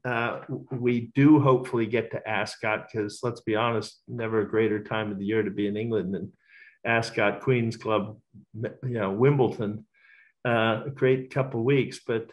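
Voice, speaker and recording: A man's voice: mid-range voice, low-quality recording. A middle-aged man, talkative and self-assured, giving casual interview answers as a racecourse bookmaker. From Australia.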